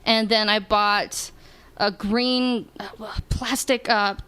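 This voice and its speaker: Smooth-voiced woman telling a story. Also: medium pitch, steady tone, slow pace, sharp tone. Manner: storytelling, hesitant with fillers. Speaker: podcast guest. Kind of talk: casual podcast chat.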